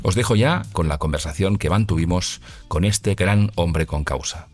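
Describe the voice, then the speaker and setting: A man's voice: low-pitched, normal speed, soft background music. A man hosting a podcast and interviewing in a wordy, easygoing way with frequent restarts. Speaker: podcast host. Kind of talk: interviewer's casual chat.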